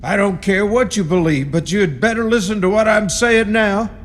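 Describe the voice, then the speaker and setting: Man acting a high-pitched, ominous rustic caretaker. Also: moderate pace, a bit bright. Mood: ominous warning. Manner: folksy rural grammar, foreboding, cryptic. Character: ominous rustic caretaker, grimly warning intruders. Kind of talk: radio horror drama acting. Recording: long reverb with music behind.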